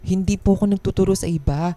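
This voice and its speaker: A woman's voice: mid-range voice, fast talker, warm tone, no room sound, faint music behind, lightly acted. A woman acting as an earnest, put-upon office employee, polite and pleading, with a Filipino accent in a radio drama. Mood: defensive, pleading. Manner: deferential, pleading.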